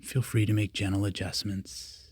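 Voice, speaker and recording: A man leading a guided meditation, giving calm, simple, repeated instructions with long pauses between them. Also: on the low side, breathy edge, no room sound. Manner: calm, repetitive, unhurried cues with long pauses.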